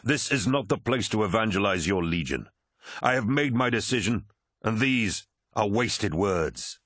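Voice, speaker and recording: A man voicing a commanding, wrathful warlord of superhuman warriors in a dramatic audiobook, forceful and imperious. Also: lowish voice, lively intonation, measured pace, breathy edge, no echo, clean studio sound, full character voice. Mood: angry. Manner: forceful, imperious, snapping and roaring. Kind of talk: dramatic audiobook character acting.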